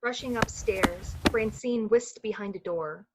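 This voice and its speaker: A woman's voice: mid-range voice, lively intonation, unhurried, gravelly, very noisy. A woman giving scripted literary narration as the storyteller of an old-fashioned radio play.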